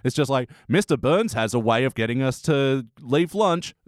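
An Australian man with a mid-pitched, very lively voice chats as a podcast host. He is wry and informal, and the sound is close and dry. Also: moderate pace, dry recording. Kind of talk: casual comedy review chat.

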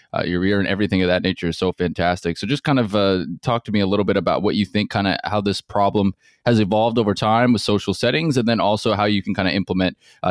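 Male podcast interviewer, low voice, close dry sound, wordy and affirming. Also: no echo. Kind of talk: conversational interview.

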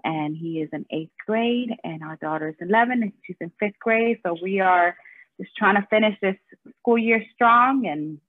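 A woman's voice: medium pitch, normal speed, dry recording. A woman in her thirties from Miami, chatting as a guest in an upbeat, casual way with many fillers. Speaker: guest, community co-founder. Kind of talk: casual interview chat. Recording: tinny phone-like line.

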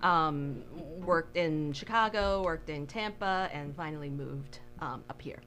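Lowish-voiced woman from Taiwan, casual interview guest. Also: a bit bright, slight background noise. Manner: informal, halting, thinks aloud. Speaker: interview guest, bakery co-owner. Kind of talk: casual conversational storytelling.

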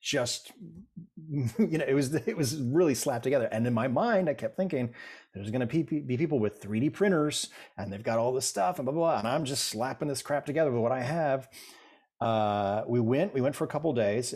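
A man's voice: mid-range voice, animated, a little fast, darkish tone, breathy edge. A middle-aged man, a guest in an interview, telling stories in a rambling, self-deprecating way with many restarts. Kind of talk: casual interview chat.

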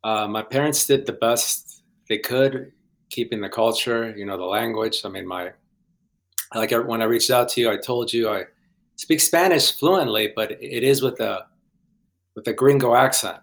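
A young man from Guatemala, a filmmaker guest on a podcast, talking in a halting, hesitant way with many restarts. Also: medium pitch. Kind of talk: casual podcast interview.

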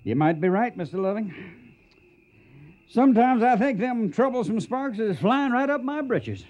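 Hot-tempered cowboy boss, western drawl, high lively voice, noisy old radio western recording. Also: male voice, normal speed, dull audio. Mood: grumbling. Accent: American Western drawl. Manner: folksy, emphatic, barking orders. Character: gruff, hot-tempered cowboy trail boss, complaining and commanding.

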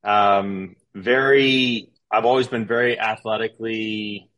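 A man's voice: on the low side, fairly even intonation, takes it slowly, a bit bright, lo-fi sound. A man in his thirties, a podcast guest telling his story in a casual, rambling way with lots of fillers and restarts. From Canada.

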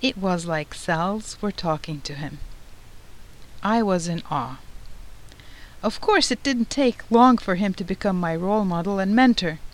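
A middle-aged woman from Turkey hosting a professional society's podcast, reading a prepared script in a measured, formal way. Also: mid-range voice, animated, low-quality recording. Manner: measured, formal, read-aloud delivery. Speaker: podcast host, society president.

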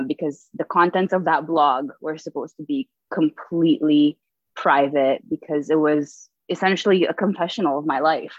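A woman in her twenties, a guest author, telling her story in a relaxed way with frequent fillers and light laughs. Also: low-pitched, no room sound. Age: 20s. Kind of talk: casual storytelling. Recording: dull, lo-fi dry audio.

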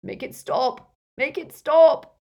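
A woman's voice: sits low, animated, measured pace, darkish tone. A woman voicing an elderly male wizard mentor in an audiobook story, authoritative and insistent, with intense emotion. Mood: terrified pleading. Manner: emphatic, insistent, moaning and groaning under torment.

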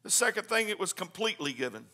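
High bright Pentecostal preacher, shouting then hushed. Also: male voice, big pitch swings, fast talker. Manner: swings from hushed to shouting, hallelujahs. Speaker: pastor. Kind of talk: Pentecostal sermon. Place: church.

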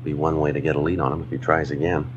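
An actor playing a terse, matter-of-fact police detective in a 1950s radio drama, with flat, deadpan delivery. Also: male voice, a bass voice, very fast, highs cut off, slight background noise. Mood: businesslike. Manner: deadpan, businesslike. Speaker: narrator and lead actor of a police series. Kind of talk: 1950s radio drama acting, scripted narration. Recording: 1950s radio recording with music.

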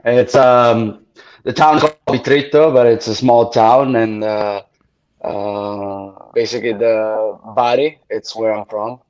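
A man's voice: lowish voice, steady tone, slow pace, darkish tone, highs cut off. A man from Italy, a native Italian speaker, talking as a guest musician in an interview, hesitant and full of fillers as he searches for words. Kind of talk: casual interview.

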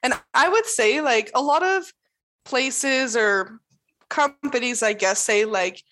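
A woman chatting casually in an interview, talking in quick bursts full of "like" and laughing often. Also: a little high, expressive, a bit bright. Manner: chatty, fills speech with "like". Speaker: interviewee, new employee. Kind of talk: casual conversation.

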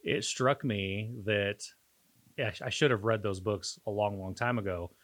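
Deep-voiced man chatting, halting. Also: fairly bright tone. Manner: halting, searching for words. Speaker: podcast co-host. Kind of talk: casual reflective conversation.

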